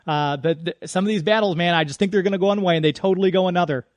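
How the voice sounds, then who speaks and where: High-voiced man hosting a radio show. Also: racing, dry recording. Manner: chatty, informal, off the cuff. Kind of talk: casual radio chat and sponsor reads.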